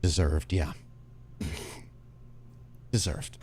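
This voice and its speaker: A man playing a guilty, subdued husband in a tabletop game, speaking quietly and haltingly. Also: rumbling low, fairly even intonation, slight background noise, voice pushed down, exaggerated voice. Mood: resigned, remorseful. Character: guilty, subdued husband admitting his betrayal.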